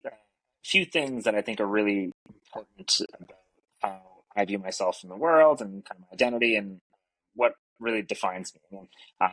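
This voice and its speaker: A man's voice: lowish voice, expressive, a little fast, a bit bright, poor audio. A middle-aged man from Canada, a native French speaker, talking earnestly as a podcast guest with many fillers. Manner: earnest, reflective, lots of fillers. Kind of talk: casual interview talk. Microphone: podcast mic.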